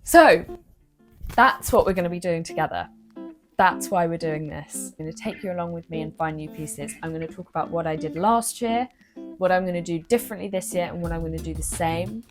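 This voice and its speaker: A young woman speaks straight into her phone in a low-energy, slightly low-pitched voice. She sounds earnest and confiding, letting her emotion show. Faint music sits behind her. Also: fairly quick. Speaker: vlogger. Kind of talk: personal vlog talking to camera.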